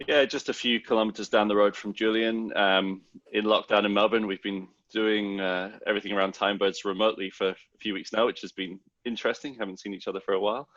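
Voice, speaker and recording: A man's voice: on the low side, steady tone, fast, hardly any pauses, fairly bright tone, dry recording, muffled recording. A man with a Scottish accent, a co-founder guest explaining his ideas at length and thoughtfully over a remote call. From Scotland. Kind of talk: casual interview talk.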